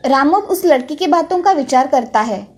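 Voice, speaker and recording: A woman's voice: high-pitched, lively intonation, normal speed. A woman reading a scripted children's story as its narrator. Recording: music under the voice.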